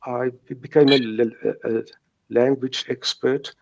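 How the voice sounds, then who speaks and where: A man's voice: mid-range voice, fairly even intonation, a little slow, creaky, highs cut off. A middle-aged man with a South African Afrikaans accent, a native Afrikaans speaker, giving a personal account in an interview, stuttering and repeating words and sounds. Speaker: guest recounting recovery from stuttering.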